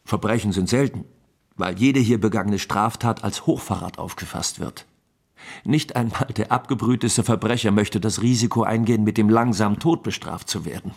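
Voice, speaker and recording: An actor playing an affable, talkative captain of the imperial guard in a German radio drama, chatty, courteous and persuasive, with a husky voice. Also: male voice, fairly low pitch, normal speed, darkish tone. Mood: explaining.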